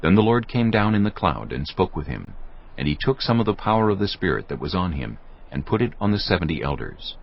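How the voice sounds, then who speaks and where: A man's voice: deep voice, very animated, brisk, creaky. A man narrating a dramatized scripture audiobook in a solemn storytelling manner. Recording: dull lo-fi sound with music.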